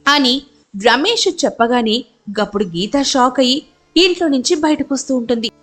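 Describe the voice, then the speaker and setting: A woman's voice: sits high, moderate pace, a bit bright. A woman narrating a scripted dramatic story.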